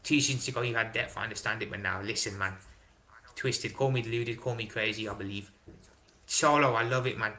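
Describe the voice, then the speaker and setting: A young man hosting a casual live stream, reading chat aloud and answering in an excitable way, swearing and dropping slang. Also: on the low side, fairly quick, a little hiss or hum. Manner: excitable, swears, London slang like innit and big up. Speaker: livestream host reading chat.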